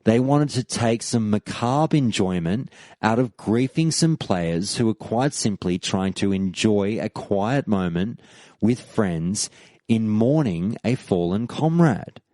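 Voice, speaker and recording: Male podcast host, mellow tone, lively swooping intonation, emphatic debate-style delivery, scripted monologue. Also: on the low side, slow, no room sound. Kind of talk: scripted argumentative monologue, reading out forum posts.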